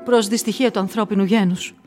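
A woman performing as the narrator of a dark occult radio play, with measured, ominous storytelling. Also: mid-range voice, steady tone, loud music behind the voice, natural voice.

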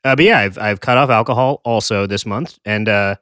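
A man's voice: on the low side, fairly quick, fairly bright tone, clear, resonant tone, no room sound, studio quality. A man co-hosting a podcast in casual chat, joking and wry. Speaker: podcast co-host.

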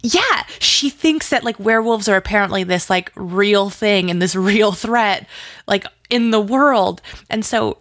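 A woman's voice: mid-range voice, a little slow, a bit bright, no echo. A woman chatting casually as an interview guest, enthusiastic and gushing, peppering her sentences with "like". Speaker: interview guest, audio drama writer and producer.

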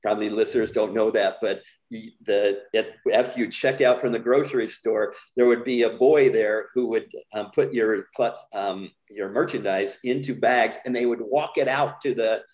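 An American man, middle-aged, speaking as an interview guest in a measured, candid, reflective way. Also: on the low side, fairly even intonation, a little mellow, poor audio. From United States. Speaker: podcast guest. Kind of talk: conversational interview storytelling.

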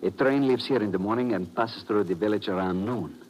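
An actor playing an earnest, methodical sidekick in a 1950s radio drama, speaking in a measured, formal way. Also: male voice, on the low side, darkish tone, slight background noise, lightly acted, rough recording. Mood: earnest, inquisitive. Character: earnest, methodical male foreign sidekick to an agent. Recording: old radio broadcast with background murmur.

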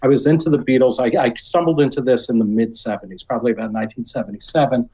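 A man's voice: medium pitch, steady tone, quick pace, dark tone, breathy edge, rough recording. A middle-aged or older man with an American accent, talking as a podcast guest in a casual, reflective, rambling way full of "you know". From New York area, United States.

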